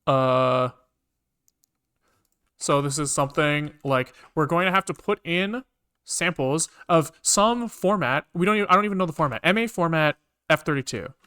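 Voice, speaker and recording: A man with a slightly high, bright voice that comes through clean and clear. He is live-streaming as a programmer, thinking out loud in an informal way and often muttering to himself. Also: lively intonation, normal speed. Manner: informal, mutters to self, swears casually. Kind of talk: casual think-aloud live coding commentary.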